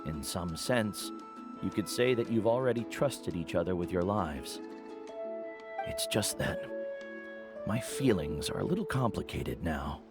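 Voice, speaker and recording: Deep, gentle older mentor. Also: male voice, animated, loud music behind the voice. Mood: gentle, reflective. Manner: measured, solemn, caring. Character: Uncle Nu, gentle, caring older male mentor guide. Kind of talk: video game voice acting.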